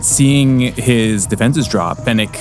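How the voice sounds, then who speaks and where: A man playing a tabletop game, casually describing his character's actions in a halting, thinking-aloud way. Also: medium pitch. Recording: music behind the voice.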